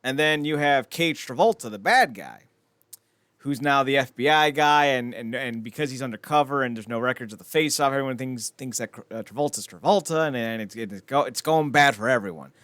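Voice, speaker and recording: A man's voice: medium pitch, brisk, fairly bright tone, no echo, studio quality. Rambling, blunt man chatting on a podcast. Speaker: podcast host. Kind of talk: casual podcast chat about films.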